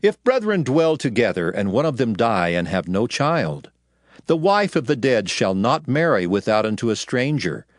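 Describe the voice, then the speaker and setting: A man's voice: mid-range voice, big pitch swings, no echo, studio quality, natural voice. Man playing a solemn, sweeping biblical lawgiver. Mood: solemn, commanding. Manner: solemn, declamatory, deliberate archaic phrasing. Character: Moses, solemn male lawgiver addressing his people. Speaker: scripture reader in an audio Bible. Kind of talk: dramatized scripture reading. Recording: music under the voice. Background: music.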